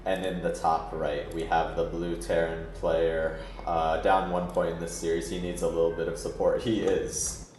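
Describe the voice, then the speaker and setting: A man's voice: deep voice, flat delivery, normal speed, a little mellow. A man hosting and commentating an esports match in a casual, laid-back, chatty way. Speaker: esports tournament host and commentator. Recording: roomy echo, music behind. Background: background music.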